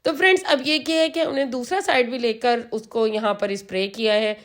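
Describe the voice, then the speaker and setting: A woman talks through a hair styling tutorial, walking viewers through each step and repeating the important ones. Her voice is hoarse from a sore throat and sits a little high. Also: steady tone, fairly quick. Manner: patient, instructive, repeats key steps. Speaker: hairstylist and salon channel host.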